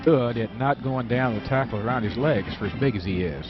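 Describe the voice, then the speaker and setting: A man's voice: mid-range voice, fairly quick, over music. An American man giving conversational color commentary and analysis on a football radio broadcast. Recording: dull radio broadcast, sound behind.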